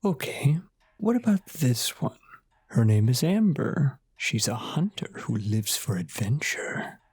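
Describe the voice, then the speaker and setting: A man's voice: mid-range voice, sing-song, slow, darkish tone, breathy edge, no room sound, clean studio sound, exaggerated voice. An actor playing a patient male shop owner in a radio drama, courteous and formal. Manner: courteous, formal salesman patter.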